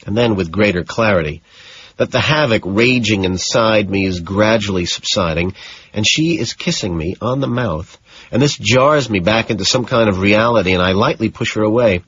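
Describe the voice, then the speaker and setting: A man's voice: lowish voice, normal speed, fairly bright tone, a little hiss or hum. A man narrating a novel in the first person as a scripted audiobook. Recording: dull, echoey room sound.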